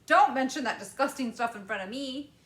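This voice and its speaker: A woman voicing an eccentric, excitable male candy maker who exclaims fussily, in a storybook reading. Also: high-pitched, pitched above the performer's own voice. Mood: exclaiming. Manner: fussy, exclamatory, dramatic pauses. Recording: roomy echo.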